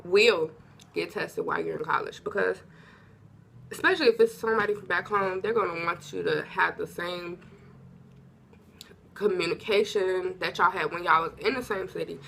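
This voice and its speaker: A woman's voice: fairly low pitch, normal speed, gravelly, fairly bright tone, slight background noise. A young woman from Illinois vlogging casual advice, talking informally and drifting off topic, her voice a little stuffy from congestion. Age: young adult. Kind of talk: casual advice talk to camera.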